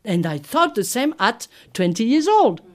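A woman from southern France with a French accent, a double bassist speaking as an interviewee in English and searching for words in a language that is not her first. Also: sing-song, measured pace.

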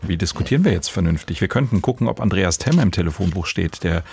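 A man's voice: sits low, lively intonation, fast talker, gravelly, darkish tone, slight background noise. A man hosting a podcast in a conversational, wry way with formal address, recorded in a studio. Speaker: podcast host. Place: radio studio.

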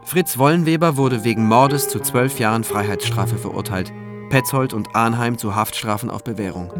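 A man's voice: medium pitch, normal speed, natural voice. Radio drama lead, male actor: military prosecutor, shaken by war, first-person telling and dialogue, music or battle din underneath. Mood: grave, reflective.

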